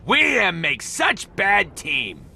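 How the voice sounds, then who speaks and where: An actor playing a slow-witted, earnest, clumsy superhero clone in a cartoon video game, talking in broken caveman grammar with childlike earnestness. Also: high voice, wildly swooping pitch, vocal fry, heavy background noise, heavily acted. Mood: earnest, determined.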